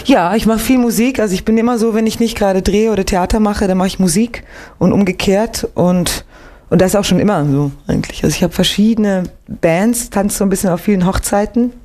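Chatty Swiss actress on late-night radio. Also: female voice, mid-range voice, brisk. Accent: slight Swiss German. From Switzerland, Winterthur. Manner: chatty, lively storytelling. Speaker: actress and singer, studio guest. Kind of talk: relaxed radio interview chat.

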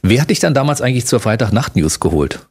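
Gravelly, husky man with lively intonation. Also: medium pitch, fast talker. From Berlin, Germany. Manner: teasing, jokey. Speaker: radio talk show host. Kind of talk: casual interview chat.

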